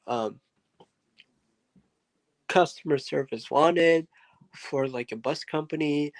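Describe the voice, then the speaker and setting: A man's voice: mid-range voice, dry recording, poor audio. A man, a podcast guest, telling a story in a casual, rambling way with many fillers and asides.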